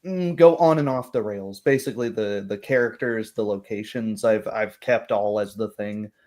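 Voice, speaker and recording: A man's voice: lowish voice, moderate pace, darkish tone. A man speaking as a game master, reflecting casually and hesitantly after a tabletop session. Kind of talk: casual post-game discussion.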